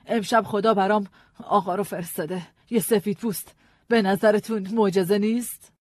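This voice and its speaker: A man's voice: high-pitched, fairly even intonation, no echo, studio quality, pitched above the performer's own voice. A man doing a determined grieving widow. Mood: pleading. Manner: soft-spoken, insistent.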